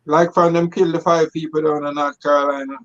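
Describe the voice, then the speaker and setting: A man with a Jamaican accent talks in a casual, storytelling way, on the higher side for a male voice. He repeats phrases for emphasis. The sound is close and dry, with slightly muffled online-call audio. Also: level tone, brisk, a bit bright, no echo.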